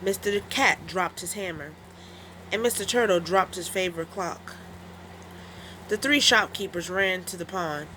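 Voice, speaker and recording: A woman storyteller narrating a children's story in an expressive, deliberate read-aloud style. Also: on the low side, slight background noise, low-quality recording.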